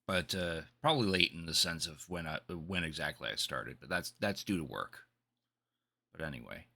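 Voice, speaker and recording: A man's voice: extremely low pitch, animated, normal speed, gravelly, sharp tone, husky. A man hosting a livestream, talking casually in a rambling, conversational way. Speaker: game live streamer, host.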